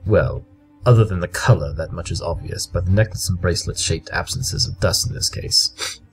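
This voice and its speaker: A coolly superior detective stallion performed by a man speaks in a deep voice. He is curt, haughty and cutting, with faint music behind the audio drama performance. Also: normal speed, gravelly, low-quality recording. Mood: scornful.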